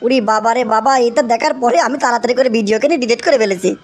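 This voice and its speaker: A man with a Bangladeshi accent voicing an excitable young cartoon bachelor desperate for fame, whiny and pleading, repeating himself emphatically. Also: very high voice, quick pace, soft background music. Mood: excited scheming. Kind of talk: exaggerated comic cartoon acting.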